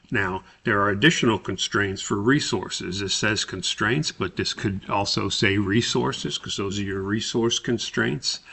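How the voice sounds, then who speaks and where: Man, instructor, step-by-step tutorial, low voice, heavy vocal fry, deliberate explaining. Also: pushed and bright. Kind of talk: software tutorial narration, step-by-step lesson.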